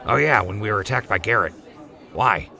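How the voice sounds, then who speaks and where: A man's voice: fairly low pitch, expressive, brisk, gravelly, pushed and bright, exaggerated voice. An actor playing an easygoing young man in a radio drama, wry and joking.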